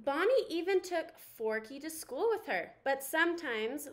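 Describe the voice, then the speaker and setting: A young woman reading a picture book aloud to children in an expressive, animated storytelling manner. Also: on the high side. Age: young adult.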